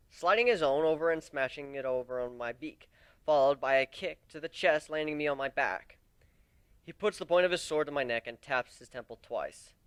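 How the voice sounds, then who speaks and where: Higher-voiced man reading a story dramatically. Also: a bit bright, clear, resonant tone, no echo. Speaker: story narrator. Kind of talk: amateur dramatic story reading, first-person.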